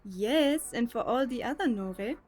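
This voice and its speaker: High-voiced bossy student sister played by an actress. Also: female voice, lively intonation, unhurried, darkish tone. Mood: fond enthusiasm. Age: young adult. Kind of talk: audio drama acting.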